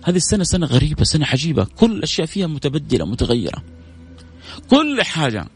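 A man with a Hijazi Saudi accent and a creaky voice, hosting a casual religious radio chat and addressing listeners affectionately with endearments and blessings. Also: fairly high pitch, big pitch swings, fast, soft background music. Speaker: radio show host.

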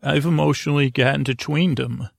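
A man with a very creaky, gravelly voice talks through a meandering bedtime podcast monologue. He stammers, doubles back and leaves thoughts half finished. The sound is dry and close, with no room echo. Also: medium pitch, steady tone. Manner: meandering, halting, stammering with repeated false starts. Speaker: podcast host.

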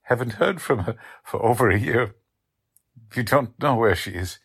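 A man playing a humble, kindly immigrant baker in a radio drama, with a halting, gentle way of repeating himself. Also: mid-range voice, lively intonation, vocal fry, husky, full character voice. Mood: sad, resigned. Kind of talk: old-time radio drama acting.

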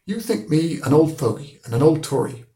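Preachy old schoolmaster played by a male narrator: gleeful, emphatic, pitched up, roomy sound. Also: lively intonation, normal speed, mellow. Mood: gleeful, stern. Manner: emphatic, lecturing, rhetorical questions. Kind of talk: audiobook character voice.

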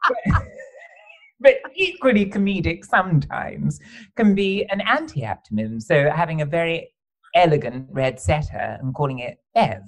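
A male podcast host with a flowery, theatrical way of speaking. His pitch swoops up and down with lively animation, and he laughs readily between elaborate, wordy remarks. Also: measured pace. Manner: flowery, theatrical, fond of "darling" and wordplay. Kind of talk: podcast interview chat.

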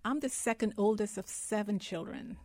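A woman's voice: mid-range voice, moderate pace, warm tone, dry recording, studio quality. A woman from Guyana, a guest in an interview, telling her life story in an earnest, heartfelt way.

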